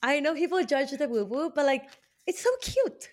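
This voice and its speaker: A woman with a fairly high voice talks casually as a podcast co-host. She is giggly and gushing, with slangy, informal phrasing throughout. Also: animated, moderate pace. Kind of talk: casual chat with tarot card readings.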